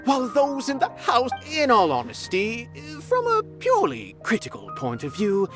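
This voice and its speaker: An actor playing an eccentric, lofty art connoisseur in a video game, speaking in a theatrical, grandiloquent way. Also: male voice, very high-pitched, wildly swooping pitch, normal speed, a bit bright, soft background music, full character voice. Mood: musing.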